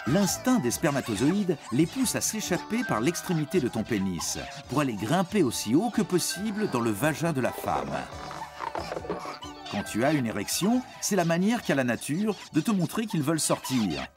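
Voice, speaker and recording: Guy narrating a kids educational cartoon, super animated pitch, playful, music underneath. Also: normal speed. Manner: playful storytelling, addressing a young listener directly. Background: background music.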